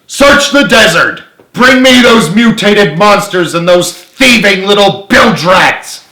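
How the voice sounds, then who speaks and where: A man voicing a furious, menacing army general who yells barking orders in an echoey room. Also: sits high, expressive, takes it slowly, voice pushed up, full character voice. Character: furious, menacing army general hunting fugitives, adult male.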